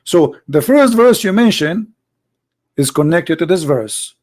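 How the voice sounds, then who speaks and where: A man debating religion, arguing forcefully and repeating himself as he presses his point. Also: a little high, animated, a little slow. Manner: forceful, argumentative, repeats words. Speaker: debate host. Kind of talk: heated debate.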